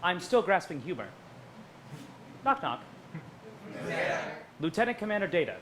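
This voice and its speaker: An actor playing an emotionless, earnest android officer with stiff, precise speech in a musical comedy stage reading. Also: male voice, high voice, big pitch swings, measured pace, very noisy. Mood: formal, deadpan. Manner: stiff, formal, precise wording. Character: emotionless male android officer, earnest, formal, staging a show. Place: live staged reading at a theater festival.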